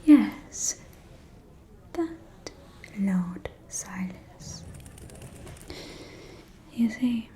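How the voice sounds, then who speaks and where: A woman playing a catty, gossipy aristocratic vampire socialite in an ASMR roleplay, speaking in a soft, breathy, conspiratorial whisper with drawn-out, teasing delivery. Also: mid-range voice.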